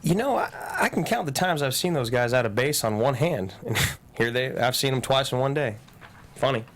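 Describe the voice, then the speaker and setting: A man's voice: mid-range voice, animated, brisk, fairly bright tone. Man playing a bashful, stammering small-town teenage boy. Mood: friendly, musing. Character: shy, polite eighteen-year-old small-town boy. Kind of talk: table read of a screenplay.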